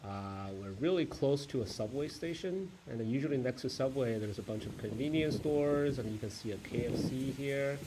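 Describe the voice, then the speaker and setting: A man's voice: medium pitch, expressive, background noise. Man vlogging on a walk through city streets. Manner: halting, many fillers and self-corrections. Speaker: travel vlogger. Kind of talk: casual walking vlog narration. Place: city streets, mall and subway station.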